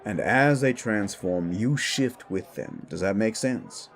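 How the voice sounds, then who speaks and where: Man voicing a slow, measured werewolf mentor. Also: lowish voice, lively intonation, a faint crowd. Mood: patient, instructive. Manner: patient, explanatory, measured. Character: older werewolf mentor, male, patient, frank, experienced. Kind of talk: scripted audio drama dialogue.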